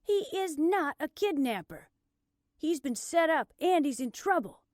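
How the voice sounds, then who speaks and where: A woman narrator voicing a stubborn, combative younger woman under arrest, arguing forcefully in an audiobook. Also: medium pitch, lively intonation, unhurried, no room sound, studio quality. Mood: indignant. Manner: forceful, argumentative, emphatic delivery.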